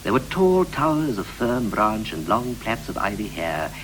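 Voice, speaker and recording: Wistful husband and father, low-pitched and very animated, measured poetic radio drama from the 1960s, faintly noisy tape. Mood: nostalgic. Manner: measured, poetic, reflective delivery. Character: wistful married father looking back on family life.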